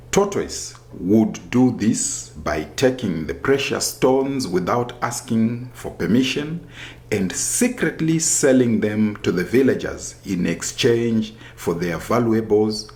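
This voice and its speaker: A man reading a scripted folktale as a storyteller, in a deliberate, expressive manner. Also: on the low side.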